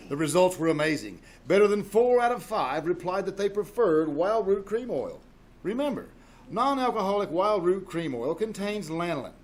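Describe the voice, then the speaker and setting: High-pitched, emphatic 1940s radio pitchman, acted by a man. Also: lightly acted. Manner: emphatic, salesmanlike. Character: earnest, upbeat 1940s male radio announcer selling hair tonic. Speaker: announcer. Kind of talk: 1940s radio commercial reading. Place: small-town theater stage.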